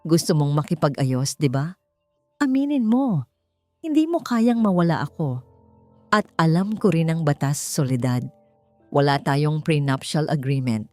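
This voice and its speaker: A woman's voice: low-pitched, animated, unhurried, long pauses, a little mellow, dry recording. A woman plays a greedy, manipulative husband from a melodramatic audio drama. The delivery is pressing and theatrical, in a dry recording with faint music behind it. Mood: smug, falsely sweet. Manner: falsely sweet, pressing, dramatic. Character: smug, greedy husband in jail, turning desperate and pleading. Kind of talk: Tagalog audio drama acting.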